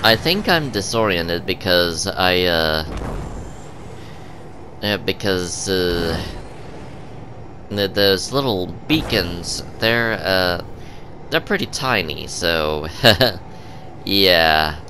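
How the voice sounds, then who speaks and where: A man giving casual gameplay commentary, halting with many ums and uhs, sniffly from a cold. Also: low-pitched, lively intonation, background noise. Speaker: gaming channel host.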